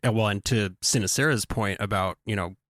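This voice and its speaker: Co-host guy talking earnest and thoughtful, lowish voice, dry sound. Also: moderate pace, a bit bright, dry recording. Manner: earnest, reflective, thinking aloud. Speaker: podcast co-host. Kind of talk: casual podcast discussion.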